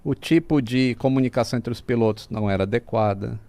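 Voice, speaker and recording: A man's voice: medium pitch, fast, darkish tone, dry recording. A man with a Brazilian accent talking casually as a podcast guest, explaining things like a storyteller and mimicking sounds as he goes.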